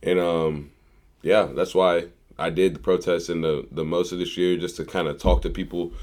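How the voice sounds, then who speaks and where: A man in his twenties from Missouri, talking as a podcast guest in a rambling, animated way and repeating phrases for emphasis. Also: low voice, normal speed. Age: 20s. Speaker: guest, local activist. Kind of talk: casual conversation.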